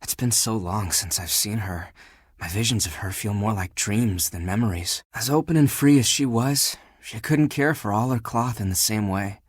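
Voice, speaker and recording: A man voicing a flirty, laid-back but insecure living pile of laundry in a dating video game, talking in a casual, teasing, breathy way. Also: fairly low pitch, moderate pace, no room sound, studio quality. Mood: wistful.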